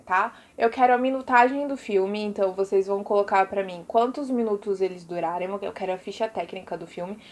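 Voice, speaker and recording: A woman's voice: mid-range voice, a little fast, few pauses. A Brazilian woman teacher giving an informal recorded lesson to pupils, chatty and explanatory, often adding little check-in tags.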